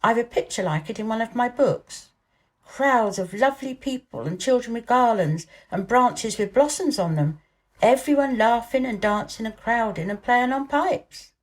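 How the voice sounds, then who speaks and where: A woman's voice: fairly high pitch, expressive, moderate pace, close to an everyday voice. Woman as an imperious, dreamy young boy. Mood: dreamy, excited.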